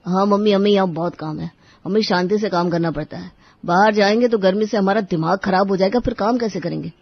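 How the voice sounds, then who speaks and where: A woman voicing a lazy, entitled grown son who whines and demands, in an animated story. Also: mid-range voice, brisk, no echo, highs cut off, lightly acted. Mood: demanding. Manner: whiny, demanding.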